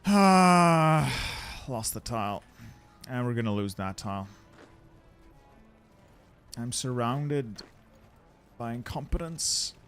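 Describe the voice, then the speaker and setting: A man's voice: mid-range voice, expressive, takes it slowly, pauses often, fairly bright tone, faint music behind. A young Dutch man narrating his strategy game play, muttering and swearing in frustration as things go wrong. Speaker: gaming YouTuber.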